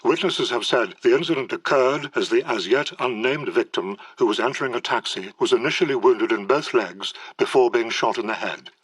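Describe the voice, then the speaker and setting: An actor playing a sober British radio newsreader, measured and formal in delivery. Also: male voice, medium pitch, fairly bright tone, no room sound, close to an everyday voice, rough recording. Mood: sober, neutral. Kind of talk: radio news bulletin.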